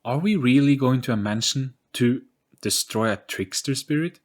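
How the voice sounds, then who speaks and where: A man's voice: mid-range voice, moderate pace, pitched above the performer's own voice, natural voice. A man voicing an inquisitive young boy in an audio story, questioning and incredulous. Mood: doubtful.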